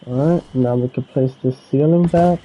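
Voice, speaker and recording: Dark-voiced American man streaming a game. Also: medium pitch, normal speed, lo-fi sound, slight background noise. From United States. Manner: relaxed, talks to himself while building, chats with viewers. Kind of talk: casual gameplay commentary.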